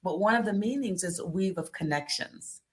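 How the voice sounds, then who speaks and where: Woman, lowish pitch, from the United States, therapist guest, casual storytelling, expressive. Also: moderate pace. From United States, born in New York. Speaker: guest, tantric therapist and psychotherapist. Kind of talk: casual conversational interview, personal storytelling.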